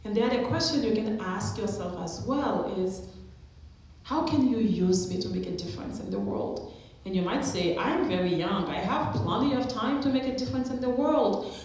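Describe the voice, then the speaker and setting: A woman's voice: medium pitch, lively intonation, moderate pace, a little mellow. Woman in an echoey chapel. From Haiti. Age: 30s. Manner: earnest, encouraging, asks the listeners questions. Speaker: chapel speaker, alumna. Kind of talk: chapel talk to students. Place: school chapel.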